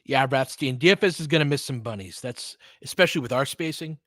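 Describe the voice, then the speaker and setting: A man's voice: medium pitch, fairly quick, bright, forceful tone, dry recording, clean studio sound. A man doing casual, informal live basketball play-by-play in clipped phrases, chatting with listeners as he goes. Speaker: podcast host doing live play-by-play commentary. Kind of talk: casual live basketball commentary with chat replies.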